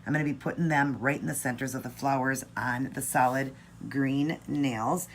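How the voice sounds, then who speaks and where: A hoarse woman recovering from laryngitis, chatting through a nail art tutorial. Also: a bass voice, fairly even intonation, normal speed, slight background noise. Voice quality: raspy. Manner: friendly, chatty, explains each step. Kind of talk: casual tutorial chat.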